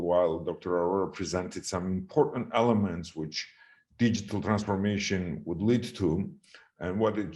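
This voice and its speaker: A middle-aged man with a Georgian accent giving a formal but unscripted presentation, explaining in a halting way and stressing key words. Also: sits low, darkish tone. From Georgia (the country). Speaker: conference presenter on health governance. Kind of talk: formal presentation, speaking extempore.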